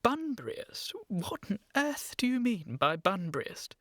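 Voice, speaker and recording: Animated, proper, defensive English gentleman. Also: high-pitched, a little slow, no echo, studio quality, exaggerated voice. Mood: flustered. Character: earnest, evasive, easily flustered young English gentleman. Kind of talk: comic radio play acting.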